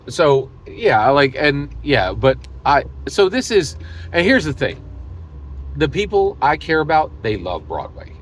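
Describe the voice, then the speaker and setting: An American man hosting a casual, opinionated gaming monologue, speaking emphatically and repetitively, often halting mid-thought and leaning on hyperbole. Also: lowish voice, slight background noise. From United States. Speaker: host of a tabletop gaming video channel.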